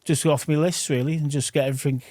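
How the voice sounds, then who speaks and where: Man, kit man, hesitant and chuckly, higher voice, dry close sound. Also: fairly even intonation, quick pace, a little mellow, no room sound. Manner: hesitant, chuckling, short replies. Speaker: kit man for a football club. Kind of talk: casual podcast chat. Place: club kit room.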